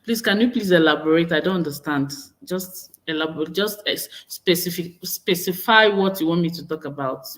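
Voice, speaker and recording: A woman's voice: fairly low pitch, normal speed, few pauses, a bit bright. A woman in her thirties with a Nigerian accent, talking casually to camera, often repeating and correcting herself. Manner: chatty, repeats and corrects herself, reads viewer comments aloud. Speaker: vlogger.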